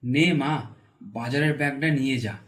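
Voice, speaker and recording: A man's voice: medium pitch, fairly even intonation, normal speed, lightly acted. A man voicing a poor village father in a cartoon folk tale, reproachful and protective of his children. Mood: plain. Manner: reproachful, stern.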